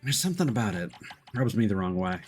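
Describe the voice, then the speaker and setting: A man's voice: lowish voice, very animated, fast talker. A man casually commentating on his live gameplay in a rambling way with dry humour. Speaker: game streamer. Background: game music.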